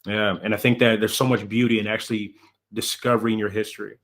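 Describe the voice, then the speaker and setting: A man's voice: lowish voice, flat delivery, quick pace, fairly bright tone. A man from Congo hosting a podcast, talking casually and supportively, often repeating a word as he starts a thought. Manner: casual and affirming, repeats words when starting. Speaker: podcast host. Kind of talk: casual conversational interview.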